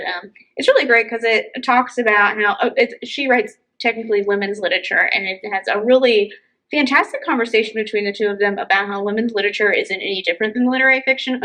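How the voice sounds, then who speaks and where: A woman's voice: medium pitch, pushed and bright, low-quality recording. A woman hosting a book chat, talking informally and enthusiastically as she gives recommendations. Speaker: book show host.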